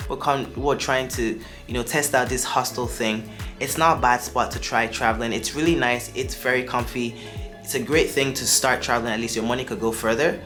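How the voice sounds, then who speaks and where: A man's voice: mid-range voice, fairly even intonation, fairly quick, soft background music. Upbeat young man with a Nigerian accent. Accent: Nigerian, shifting toward American. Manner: chatty and upbeat, with asides and restarts. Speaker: travel vlogger. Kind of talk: casual advice to camera.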